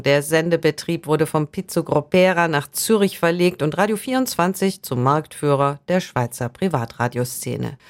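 A man reading scripted documentary narration for a radio feature. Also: on the high side.

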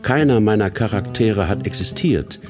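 An actor playing a narrator in a radio play, speaking in a deliberate, literary manner. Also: male voice, lowish voice, natural voice. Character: measured male narrator, correcting and introducing a rogue writer. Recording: studio radio play with guitar music.

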